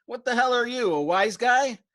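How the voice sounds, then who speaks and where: A man voicing an overwrought, pugnacious airman in an audiobook, loud and frantic, with a rough, quavering edge. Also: very high voice, lively intonation, normal speed, voice pushed up. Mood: affronted, yelling. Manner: loud, frantic, fails at whispering. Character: overwrought, pugnacious young male airman plotting murder.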